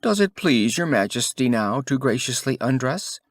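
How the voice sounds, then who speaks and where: A man's voice: mid-range voice, big pitch swings, normal speed, no room sound, pitched above the performer's own voice. A man voicing a sly swindler who poses as a royal weaver, showy and obsequious, in a storybook reading. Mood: smooth, flattering.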